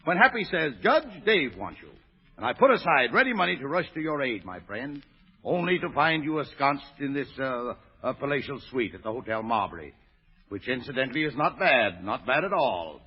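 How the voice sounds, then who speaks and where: An actor playing a pompous, flowery pool-hustling judge in a 1930s radio comedy, hemming and stammering grandly. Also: male voice, mid-range voice, very animated, moderate pace, slightly rough. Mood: grandly evasive. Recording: muffled, reverberant old radio recording.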